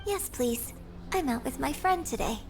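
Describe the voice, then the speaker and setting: A woman voicing a graceful, polite, composed young opera performer in a video game, with refined, measured phrasing over music. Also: high-pitched, expressive, recorded from a distance. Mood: relaxed, pleased. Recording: game music bed behind voice.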